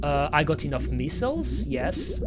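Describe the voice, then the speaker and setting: Belgian-accented, French-native streamer; chatty casual game commentary, very animated pitch. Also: moderate pace, over music. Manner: chatty, talks to chat, lots of "you know" and "pretty much".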